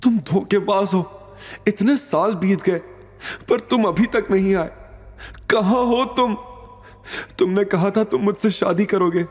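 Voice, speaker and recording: A man voicing an innocent, playful and easily hurt young woman in an audio story, with a soft, girlish, emotional delivery. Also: high voice, slow, pauses often, pitched above the performer's own voice. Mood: hurt, accusing.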